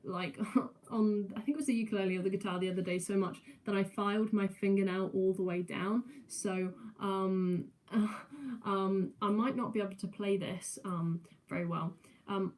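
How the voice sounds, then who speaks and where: A woman's voice: mid-range voice, steady tone, normal speed, a little mellow. Hesitant young woman, mixed southern English accent. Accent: mixed southern English and New Zealand. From England, raised in New Zealand. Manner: hesitant, many ums and restarts. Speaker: musician streamer. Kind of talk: casual live-stream chat. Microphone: no proper microphone.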